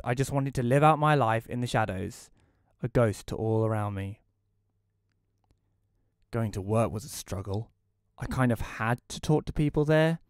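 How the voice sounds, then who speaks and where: A man's voice: fairly low pitch, clean tone, no room sound, studio quality, natural voice. Man playing a wry, weary recluse. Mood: weary, bitter. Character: bitter reclusive widower, sardonic narrator Rory. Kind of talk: first-person audio play narration.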